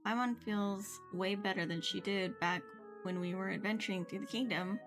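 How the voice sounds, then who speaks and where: Woman game streamer over clear background music. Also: mid-range voice, steady tone, normal speed. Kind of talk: livestream read-aloud of game dialogue with casual reactions. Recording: music behind the voice. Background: video game music.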